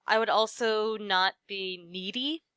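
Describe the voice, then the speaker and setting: A young woman talks shop in a relaxed interview, her voice crisp and smooth. She laughs easily and peppers her answers with fillers. The sound is dry and close, with a bright edge. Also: medium pitch, slow pace, no room sound. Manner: chatty, lots of fillers and laughs. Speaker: voice actress, interview guest. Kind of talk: casual interview chat.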